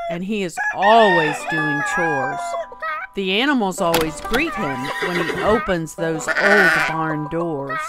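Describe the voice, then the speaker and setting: A woman reading a rhyming storybook aloud for children in an expressive, sing-song way. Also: fairly low pitch. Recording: music playing behind the voice. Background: clear background music.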